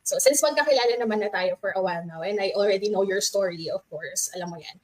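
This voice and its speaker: Filipino woman in her thirties, friendly live-chat host, laughs easily, medium pitch. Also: fast, poor audio. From Philippines. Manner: friendly, chatty, laughs often, switches between English and Tagalog. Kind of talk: casual livestream chat.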